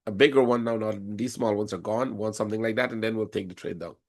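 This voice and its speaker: A man with a cold and a hoarse voice, explaining chart patterns step by step during a live trading session. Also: on the low side, quick pace. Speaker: forex trading instructor. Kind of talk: live trading room commentary.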